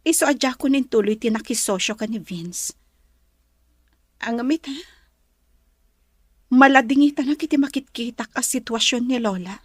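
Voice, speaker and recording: Casting: woman for soft-hearted but firm heiress, Filipino accent, fairly high pitch. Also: moderate pace, pauses often, no echo, studio quality, lightly acted. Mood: troubled. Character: gentle, troubled young heiress, apologetic but firm. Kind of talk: Ilocano drama acting.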